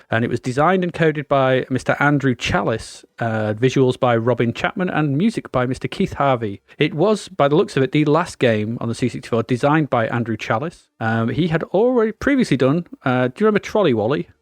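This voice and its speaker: A man's voice: medium pitch, expressive, brisk, few pauses, dry recording, clean studio sound. A man with a cold and a blocked nose, co-hosting a podcast in a chatty, meandering way. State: congested with a cold. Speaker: podcast co-host. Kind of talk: casual chat.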